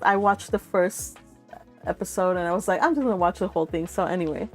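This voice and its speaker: A woman's voice: on the low side, quick pace, soft background music. A woman hosting a read-along livestream, chatting casually and reading out viewer comments in a gushing, giggly, rambling way. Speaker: livestream host of a book read-along channel.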